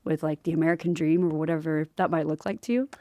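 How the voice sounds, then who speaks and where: Fast-talking bubbly woman host. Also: deep voice, dry recording, lo-fi sound. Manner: bubbly, enthusiastic, quick excited exclamations. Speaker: podcast host. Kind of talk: casual interview chat.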